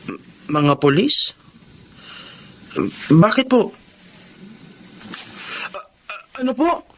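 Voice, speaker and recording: An actor playing an earnest, protective young brother in a Tagalog radio drama. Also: male voice, high voice, very animated, very slow, fairly bright tone, full character voice. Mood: concerned. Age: young adult. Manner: earnest, emotional.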